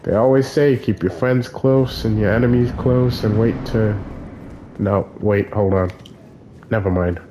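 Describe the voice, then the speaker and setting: A man's voice: fairly low pitch, a little slow, background noise. A man streaming a video game, casual and joking, with a nasal, stuffy-nosed voice. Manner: joking, offhand. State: stuffy nose. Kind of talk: casual gameplay commentary.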